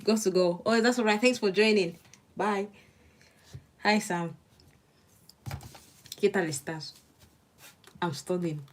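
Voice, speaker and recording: A woman's voice: mid-range voice, takes it slowly, long pauses, a little hiss or hum. A young woman from Nigeria with a Nigerian accent, a law student murmuring her notes aloud and chatting with viewers on a casual study livestream. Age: young adult.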